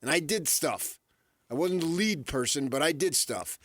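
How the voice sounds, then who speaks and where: A man's voice: fairly high pitch, lively intonation, normal speed, fairly bright tone, no echo. A man co-hosting sports talk radio, arguing opinions emphatically and repeating his points.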